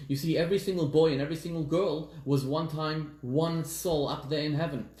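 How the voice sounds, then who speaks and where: Rabbi from southern Africa, echoey sermon. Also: male voice, on the high side, steady tone, a little mellow. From Zimbabwe, raised in South Africa. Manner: storytelling with dramatic pauses, slowing on key words. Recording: echoey room.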